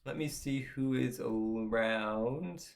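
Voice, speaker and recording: A man's voice: mid-range voice, slow pace, gravelly. A man streaming live who is losing his voice, chatting loosely and thinking aloud with lots of restarts. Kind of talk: casual live-stream commentary.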